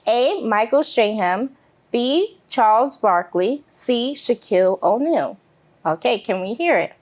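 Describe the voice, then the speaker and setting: A woman hosting a casual talk show, upbeat and quick to laugh. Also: on the high side, animated. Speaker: host. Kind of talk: casual chat.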